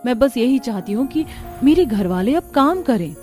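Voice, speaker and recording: A woman's voice: a little high, big pitch swings, moderate pace, darkish tone, soft background music. A woman voicing an earnest, worried young daughter-in-law in a cartoon family story. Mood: earnest, concerned. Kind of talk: cartoon voice acting.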